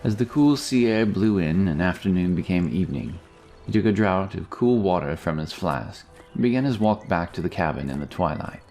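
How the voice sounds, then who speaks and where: A man's voice: deep voice, darkish tone. A man narrating a scripted fantasy story as a storyteller. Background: music.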